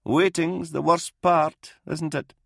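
A man's voice: on the high side, steady tone, slow pace, creaky, a bit bright, no echo, studio quality, pitched above the performer's own voice, heavily acted. Man voicing a frail, stubborn old military doctor. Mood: weary. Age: older. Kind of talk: audiobook character voice in military science fiction.

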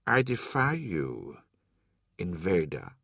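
A man voicing a frail, miserable, defiant elderly man in an audiobook, with a thick antique accent and a slow, haughty delivery. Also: deep voice, huge pitch swings, creaky, a bit bright, breathy edge, no echo, clean studio sound, pitched below the performer's own voice, full character voice. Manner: slow, deliberate, haughty, bitter.

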